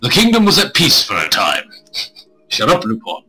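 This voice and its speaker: Bright, husky male game streamer. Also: mid-range voice, huge pitch swings, normal speed, rough recording. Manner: crude, irreverent joking. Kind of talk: reading visual novel aloud with joking asides. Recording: music playing behind the voice.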